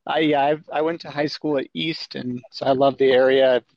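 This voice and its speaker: Gravelly man on a dull phone connection. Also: mid-range voice, steady tone, a little mellow, no room sound. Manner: apologetic, hurried. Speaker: building owner's representative.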